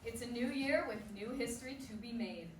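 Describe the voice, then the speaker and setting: A woman's voice: a little high, fairly quick, lightly acted. A woman acting a probing, polished sports interviewer in a staged play reading. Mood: probing, formal. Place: stage before a room, far microphone. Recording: echoey distant stage recording with noise.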